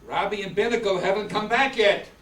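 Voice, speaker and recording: A man's voice: high-pitched, a little fast, fairly bright tone. A man playing a brave, wry young boy in an old-time radio adventure. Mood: earnest, wry. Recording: roomy, distant mic, faint noise.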